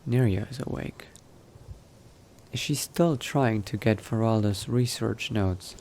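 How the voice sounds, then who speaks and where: Guy playing a fantasy game in character, talking to himself real slow, crackly voice. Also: on the low side, darkish tone. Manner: musing to himself, unhurried. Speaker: gaming video host, role-playing player. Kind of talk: in-character improvised gameplay narration.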